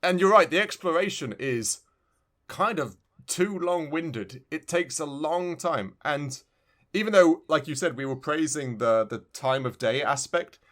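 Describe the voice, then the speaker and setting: A man's voice: a little high, lively intonation, a little slow, fairly bright tone. A man co-hosting a casual video game review podcast, talking in a rambling way and often restarting his phrases. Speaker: podcast co-host.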